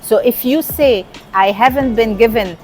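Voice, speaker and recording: A woman's voice: a little high, moderate pace, a little mellow, soft background music. A middle-aged woman from Lebanon, a guest entrepreneur and coach, speaking earnestly and repeating her key points in a conversational interview.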